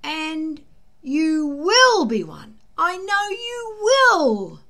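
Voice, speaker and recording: Woman as an excitable high-voiced Viking boy. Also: extremely slow, heavily acted. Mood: earnest, encouraging. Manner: high, emphatic, earnest. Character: excitable, anxious, loyal Viking boy sidekick.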